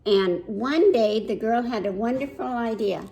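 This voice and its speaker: A woman hosting a children's storytime, reading aloud and pausing to put simple questions to young listeners. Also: on the high side, dark tone, highs cut off. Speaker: children's library storytime host.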